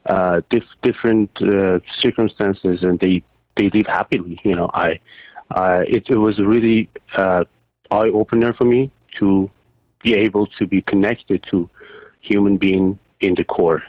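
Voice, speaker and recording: A man who grew up in Iran, a surgeon and poet, answering interview questions haltingly with many fillers and restarts. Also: low voice, fairly even intonation, no echo. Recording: tinny phone line.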